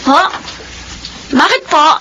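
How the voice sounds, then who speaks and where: A woman's voice: sits high, very animated, slow, pushed and bright, a little hiss or hum, exaggerated voice, low-quality recording. An actress playing a polite, helpful young Filipino girl in a radio drama. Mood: helpful, curious. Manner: polite, deferential to elders.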